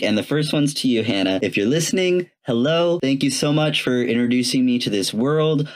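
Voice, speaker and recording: A man hosting a solo podcast, talking conversationally in an earnest, enthusiastic manner. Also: medium pitch. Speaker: podcast host.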